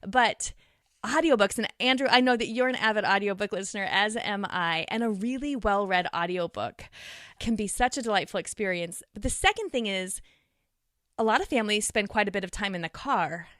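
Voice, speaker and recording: A woman speaking conversationally as a podcast guest, explaining things in an animated way. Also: mid-range voice, fairly quick, no room sound, studio quality. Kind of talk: conversational interview.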